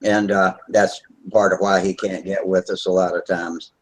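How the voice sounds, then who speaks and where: A man in his sixties chatting on a casual online video hangout, relaxed and a little rambling, over a computer mic. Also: deep voice, normal speed, low-quality recording. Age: 60s.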